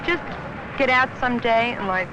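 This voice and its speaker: A woman's voice: fairly high pitch, moderate pace. A woman speaking tentatively in a candid documentary interview as a mother, searching for her words. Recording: dull, noisy old film sound.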